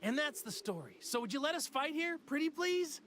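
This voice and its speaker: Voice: high voice, big pitch swings, normal speed, fairly bright tone, a little hiss or hum. An actor playing a cheerful, naive martial-arts hero in an anime video game, folksy, boyish and eager. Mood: sheepish, casual. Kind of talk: English anime game dub acting. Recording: music behind.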